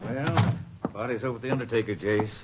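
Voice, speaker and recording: A man's voice: mid-range voice, fairly quick, very noisy, natural voice. An American man playing a folksy small-town Texas sheriff in a 1950s radio drama, with an easygoing manner. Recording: muffled old radio broadcast.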